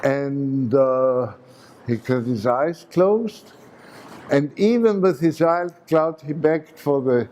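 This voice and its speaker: Slow, pausing man at a seminar. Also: medium pitch, expressive, a little mellow, slight background noise. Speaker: seminar speaker. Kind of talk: memorial talk. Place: conference hall.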